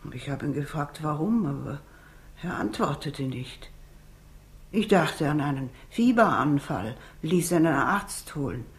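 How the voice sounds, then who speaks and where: An actress in a 1960s radio crime drama playing a stout, sombre older housekeeper who recounts things in a measured way. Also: female voice, low voice, animated. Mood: grave, reporting.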